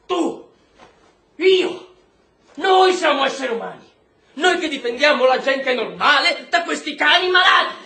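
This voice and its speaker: An actor playing a volatile, domineering army officer and husband in a stage-style drama, coarse and contemptuous. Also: male voice, very high-pitched, exaggerated voice. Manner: coarse, contemptuous, erupting into shouts. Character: volatile, bitter, domineering military husband, prison camp officer. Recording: roomy echo, faint noise.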